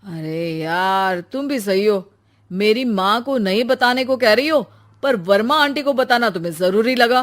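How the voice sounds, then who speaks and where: A woman voicing a short-tempered young husband in a cartoon story, given to loud, exasperated outbursts. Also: mid-range voice, lively intonation, unhurried, natural voice. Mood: irritated.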